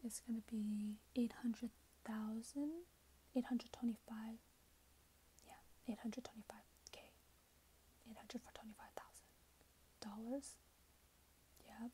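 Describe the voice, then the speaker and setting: A woman in her twenties speaking in a hushed, breathy whisper, gently role-playing a customer service clerk for ASMR. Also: on the high side, flat delivery, takes it slowly, pauses often, a little hiss or hum, poor audio. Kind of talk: soft-spoken ASMR role-play. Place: small plain indoor room.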